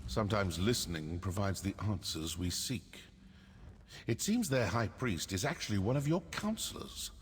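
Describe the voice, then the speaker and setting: An actor playing a wry, suspicious male assassin in a fantasy video game, with a measured, sardonic delivery. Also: fairly low pitch, lively intonation, slight background noise, close to an everyday voice. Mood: wary, brooding. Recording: cavernous reverb, faint game ambience.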